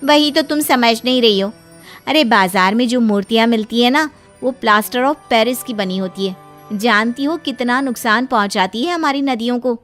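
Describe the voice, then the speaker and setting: A woman's voice: a little high, moderate pace, fairly bright tone, faint music behind, close to an everyday voice. A woman voicing an earnest, persuasive female friend in a cartoon moral story. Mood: earnest, persuading.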